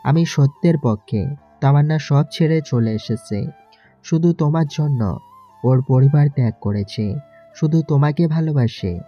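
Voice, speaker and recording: A man's voice: a little high, unhurried, soft background music. A man playing a firm, loyal friend who speaks up earnestly in a romantic audio story, on a muffled track. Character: loyal, firm male friend defending a woman.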